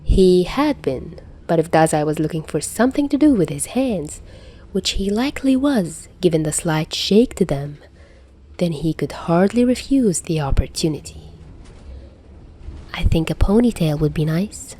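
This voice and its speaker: A woman reading a story aloud as narrator in an expressive scripted style. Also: on the low side, normal speed. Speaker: fan fiction narrator.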